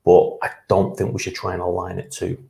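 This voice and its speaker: A man's voice: low-pitched, monotone, fairly quick, gravelly, mellow, a little hiss or hum. A man speaking as a panel guest, conversational and emphatic about his views. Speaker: panel guest, talent acquisition manager. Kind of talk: panel discussion. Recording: echoey room.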